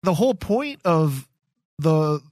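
A man co-hosting a casual podcast, explaining his ideas haltingly, with many restarts. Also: on the high side, sing-song, a little mellow, no echo, clean studio sound. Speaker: podcast co-host.

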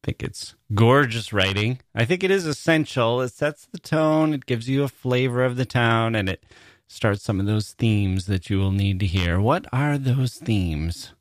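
A man's voice: mid-range voice, animated, measured pace, no room sound, studio quality. A man hosting a literary podcast solo, talking conversationally and reflectively with informal asides. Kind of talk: conversational solo podcast talk, reading listener mail.

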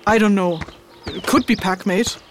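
A woman's voice: mid-range voice, measured pace, slight background noise, natural voice. A woman playing a stern, reserved, easily exasperated traveling mentor in a fantasy audio drama, speaking curtly. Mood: reserved, unsure. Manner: curt, hesitant, exasperated delivery.

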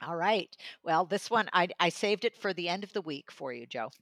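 A woman's voice: on the low side, lively intonation, moderate pace, fairly bright tone, no room sound. A woman in a conversational book discussion, speaking as an audiobook reviewer. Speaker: audiobook reviewer and regular guest.

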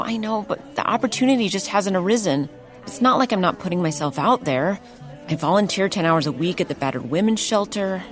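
A woman's voice: lowish voice, natural voice. An actress playing an anxious, self-pitying, earnest activist woman in an audio drama.

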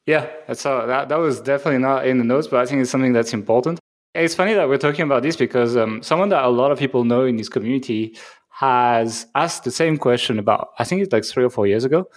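A man's voice: mid-range voice, fast. A man whose first language is French, co-hosting a podcast and talking casually with plenty of fillers and restarts. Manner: conversational, many fillers and restarts. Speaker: podcast co-host.

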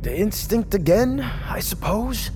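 A man's voice: high voice, expressive, unhurried, music in the background. An actor playing an earnest, uncertain young nobleman in an audio drama, hesitant and questioning. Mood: puzzled. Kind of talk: audio fiction drama acting.

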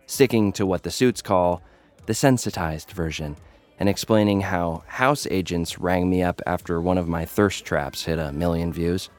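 A man's voice: low voice, a little mellow, close to an everyday voice. An actor playing Danny, a cocky, jaded young American influencer who fights for his followers, narrating an audio drama in a wry, conversational way. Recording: music bed behind the voice.

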